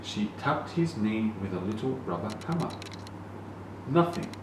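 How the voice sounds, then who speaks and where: Echoey, animated man reading a children's story. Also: fairly low pitch. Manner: deliberate, animated storytelling with emphasized sound words. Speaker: storybook reader. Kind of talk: expressive children's read-along narration. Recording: very echoey, distant, noisy room.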